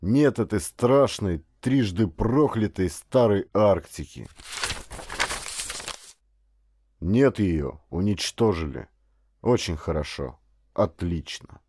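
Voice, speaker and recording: A man's voice: low voice, lively intonation, slow pace, mellow, slightly rough, dry recording, pitched below the performer's own voice, exaggerated voice. A man voicing a stern, learned father in an audiobook, reading aloud in a grave, emphatic way. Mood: grave, impassioned.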